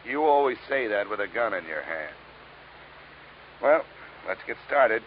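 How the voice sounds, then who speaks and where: A man's voice: fairly low pitch, very animated, measured pace. An actor playing a wry, hard-boiled male investigator, sardonic and dry, in a vintage radio detective drama. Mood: weary sarcasm. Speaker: lead and narrator. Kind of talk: 1950s radio detective acting and narration. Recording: dull, faintly noisy vintage radio recording.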